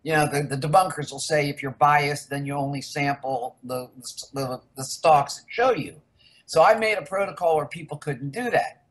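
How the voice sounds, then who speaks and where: A woman's voice: sits low, normal speed, rough recording. An older woman from the United States, a researcher guest in a casual interview, rambling candidly through her story.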